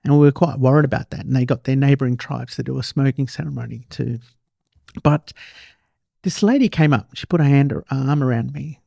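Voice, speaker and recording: An Australian man with a relaxed, mellow voice and a gravelly creak hosts a conversational podcast. He tells stories casually and repeats phrases for emphasis, recorded very dry. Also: mid-range voice, brisk, dry recording. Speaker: podcast host. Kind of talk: casual interview chat, storytelling.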